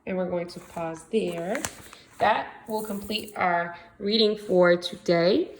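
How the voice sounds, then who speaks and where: Expressive American woman reading to children. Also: lowish voice, takes it slowly, slight background noise, poor audio. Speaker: second grade teacher. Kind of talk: expressive storybook read-aloud for young children.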